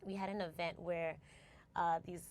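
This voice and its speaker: A woman's voice: on the low side, little pitch movement, moderate pace, a little mellow, slight background noise. A woman, a guest reflecting in a casual interview and often checking in with "right?". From United States. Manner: reflective, tags phrases with "right?" and restarts words. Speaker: guest, company founder.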